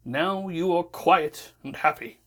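A woman voicing a stern, commanding father god of light in a storybook, speaking slowly and solemnly. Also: fairly bright tone. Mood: pleased. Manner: slow, solemn, booming delivery.

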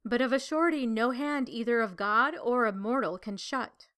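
A woman's voice: on the high side, moderate pace, fairly bright tone, clean tone, lightly acted. A woman voicing a lovelorn young weaving maiden of heaven in a storybook, plaintive and formal. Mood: defiant.

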